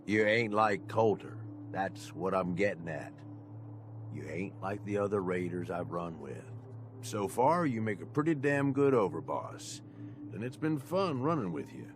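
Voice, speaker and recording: A gruff raider henchman in middle age for a video game. He speaks very low and plainly, confiding in frank, colloquial phrases, with music clearly behind him. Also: lively intonation, a little slow. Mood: awkward, sincere. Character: gruff, frank middle-aged raider right-hand man.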